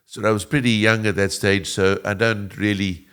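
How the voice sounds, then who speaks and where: Older man, low voice, chuckling. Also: little pitch movement, normal speed, fairly bright tone, clean tone. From Egypt, raised in South Africa. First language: Italian. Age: 70s. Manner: chuckles often, rambling with restarts. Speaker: guest. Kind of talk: interview conversation.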